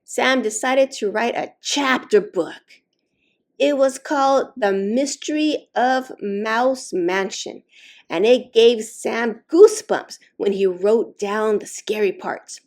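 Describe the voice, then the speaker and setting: A woman reading a children's story aloud expressively, with slow, deliberate, dramatic emphasis on key words. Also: fairly high pitch. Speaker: storytime reader.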